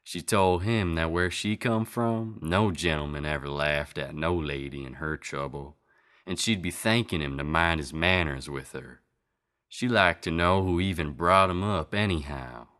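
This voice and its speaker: Deep-voiced folksy storyteller played by a man. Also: a little slow, a bit bright, clear, resonant tone. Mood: folksy, emphatic. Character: folksy Old West storyteller, unhurried male townsman. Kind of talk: scripted narration of a Western folk tale.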